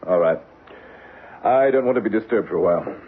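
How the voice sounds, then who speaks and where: A man's voice: lowish voice, expressive, brisk, close to an everyday voice. An actor playing a domineering, ambitious, middle-aged businessman and husband in an old-time radio drama, imperious and emphatic. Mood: impatient, commanding. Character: domineering, ambitious, philandering corporate boss and husband. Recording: tinny, noisy old radio recording.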